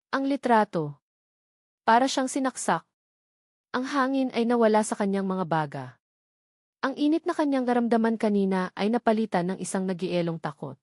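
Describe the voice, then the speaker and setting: A woman reading a scripted dramatic story as an audiobook narrator, in a measured, emotive way. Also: mid-range voice, darkish tone, no echo, clean studio sound.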